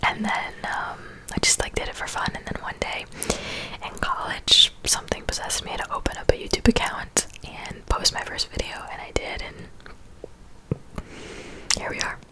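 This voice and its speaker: A woman in her twenties speaking in a soft, breathy whisper, chatting casually and unhurriedly as an ASMR creator answering viewers. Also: normal speed, low-quality recording. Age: 20s.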